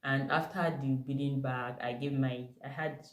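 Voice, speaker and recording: A young woman with a Nigerian accent telling a personal story to camera, animated and emphatic. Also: rumbling low, normal speed. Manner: animated, emphatic retelling with bursts of laughter. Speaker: vlogger. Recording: echoey room.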